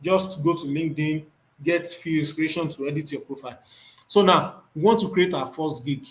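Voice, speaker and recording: A man's voice: on the high side, normal speed. Man teaching a screen tutorial. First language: Yoruba. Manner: thinks aloud, repeats words while reading off the screen. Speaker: instructor.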